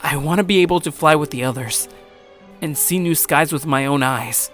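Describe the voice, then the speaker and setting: A man's voice: a little high, normal speed, a bit bright, faint music behind, close to an everyday voice. A man playing an earnest, nervous teenage schoolboy in an anime-style audio drama, hesitant and pleading in manner.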